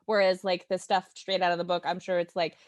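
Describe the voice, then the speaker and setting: A woman's voice: on the low side, little pitch movement, fairly quick. A woman from Oklahoma with an American accent, a podcast guest speaking informally and enthusiastically with some hesitation. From Oklahoma, United States. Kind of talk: casual podcast chat.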